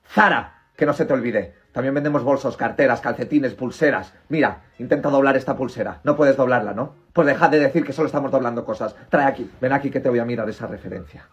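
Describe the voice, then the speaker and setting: A man playing a haughty, bossy female store manager in a comedy sketch, imperious and deadpan, with a Castilian Spanish accent. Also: medium pitch, fast talker, hardly any pauses, close to an everyday voice. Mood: smug, boastful.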